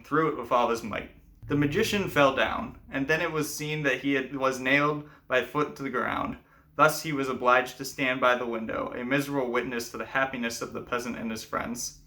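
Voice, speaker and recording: Male storyteller-host, mid-range voice with a bright, tense edge, for folklore narration and commentary. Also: mid-range voice. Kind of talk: scripted fairy tale narration, then explanatory commentary.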